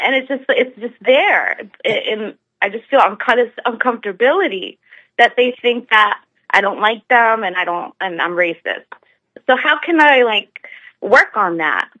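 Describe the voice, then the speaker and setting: A woman's voice: on the high side, bright, forceful tone, no echo, dull audio. An American woman calling in to a talk show, speaking hesitantly with many fillers and restarts. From United States. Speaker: caller. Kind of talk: phone-in call.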